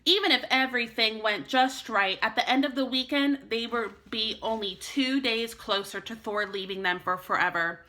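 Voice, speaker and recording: Lady teacher reading a kids book out loud, kinda high bright voice, expressive. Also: moderate pace, hardly any pauses. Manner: expressive, addressing young children. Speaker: elementary school teacher reading aloud. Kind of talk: expressive children's storybook read-aloud.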